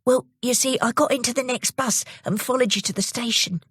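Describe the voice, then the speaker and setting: A woman's voice: fairly high pitch, fairly even intonation, a little fast, slightly rough, no room sound, studio quality, pitched above the performer's own voice. A woman voicing an earnest, anxious young boy in a children's story, speaking eagerly.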